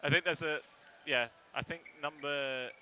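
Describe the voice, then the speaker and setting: A man doing live play-by-play commentary at an indoor court, talking into a headset in the commentary box, often correcting himself and rising with excitement at big plays. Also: on the high side. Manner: rapid play-by-play with self-corrections and sudden excited rises. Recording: noisy hall with ball bounces.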